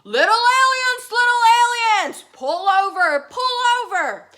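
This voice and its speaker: A woman voicing a big bad storybook robot, bossy and threatening, with drawn-out, chanting calls. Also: extremely high pitch, expressive, pushed and bright, voice pushed up, exaggerated voice. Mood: menacing.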